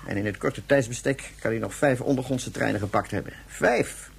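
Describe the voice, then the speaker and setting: A man's voice: medium pitch, normal speed, gravelly, husky, heavily acted. A man playing a fussy, grumbling moon police officer in a science-fiction radio play, official and repetitive in manner. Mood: fretful, complaining.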